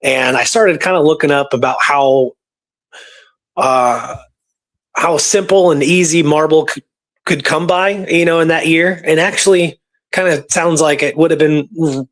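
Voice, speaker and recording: A man with a somewhat high voice chats through historical research. He is informal and conversational, telling it like a story to a friend as a guest researcher. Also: measured pace, fairly bright tone. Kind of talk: casual conversational history discussion.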